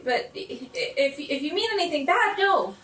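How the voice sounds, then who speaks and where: Roomy, distant recording of a woman playing a nervous schoolgirl in a tabletop game. She is kind and hesitant, stammering in a fairly high voice. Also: lively intonation, normal speed, fairly bright tone, faint music behind. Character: nervous, kind-hearted schoolgirl, anxious to reassure.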